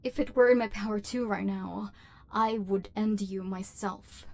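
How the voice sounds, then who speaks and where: A woman's voice: medium pitch, steady tone, measured pace, vocal fry, a little mellow. A woman voicing an imperious robot overlord who despises humans, speaking in formal, machine-like phrases in an ASMR roleplay. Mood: haughty, threatening. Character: haughty, human-hating female robot overlord, secretly lonely. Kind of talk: ASMR roleplay, dramatic villain monologue.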